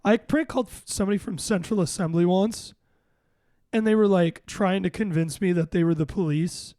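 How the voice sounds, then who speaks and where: A young man with a raspy, hoarse voice hosting a casual podcast, rambling and joking through quick restarts. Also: sits high, mellow, no echo, clean studio sound. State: hoarse, voice worn out. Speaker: podcast host.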